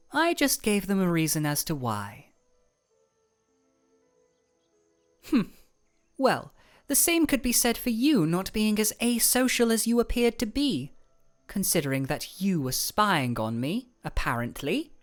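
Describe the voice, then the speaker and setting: Woman playing a cool ice royal, expressively pitched. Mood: dry, teasing. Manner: deadpan, measured, formal, teasing. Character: cool, composed young ice royal with dry wit. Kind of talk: scripted fantasy audio roleplay.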